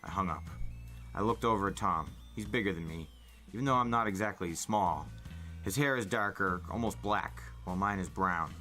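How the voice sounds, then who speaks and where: A man reads a story aloud with expressive, audiobook-style narration. His voice sits fairly low but has a bright, forward edge, and music plays behind him. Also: moderate pace. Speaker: podcast host reading a novel aloud. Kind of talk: first-person audiobook-style narration with acted dialogue.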